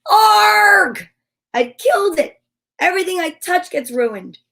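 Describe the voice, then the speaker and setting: A woman's voice: a little high, sing-song, normal speed, gravelly, a little mellow. A woman voicing a glum, easily discouraged young boy in a storybook reading. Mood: despairing.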